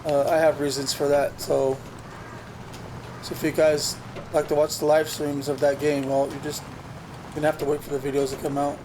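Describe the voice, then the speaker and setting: A man's voice: a little high, little pitch movement, moderate pace. Rambling man narrating his gameplay. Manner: rambling, thinks aloud with restarts. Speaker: gaming channel host. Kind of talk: casual gameplay commentary. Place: home computer setup. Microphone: USB desk mic. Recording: noisy game sound behind voice. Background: truck game sounds.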